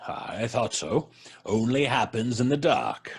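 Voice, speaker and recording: An actor playing a jovial, scoffing gentleman at a seance in a radio drama, facetious and drawling. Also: mid-range voice, expressive, slow pace, breathy edge, heavily acted. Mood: facetious, mocking. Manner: drawling, facetious, stammering politely. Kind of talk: old-fashioned radio drama acting.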